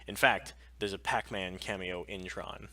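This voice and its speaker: A man's voice: low voice, fairly even intonation, unhurried, far from the mic, very noisy. A man co-hosting a casual pop-culture live stream, talking in a sweary, enthusiastic, geeky way. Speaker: podcast co-host on a live stream.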